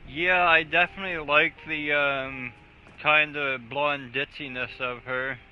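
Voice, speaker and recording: A man's voice: a little high, steady tone, a little slow, a little hiss or hum. A man streaming a video game with a friend, talking casually with lots of swearing and jokes. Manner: laid-back, profane, joking. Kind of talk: casual livestream chat. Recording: slightly dull audio with game music.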